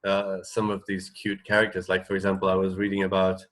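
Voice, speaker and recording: Low-pitched man in halting casual chat. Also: completely flat delivery, fairly bright tone. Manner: tentative, halting, thinking aloud. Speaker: radio show co-host.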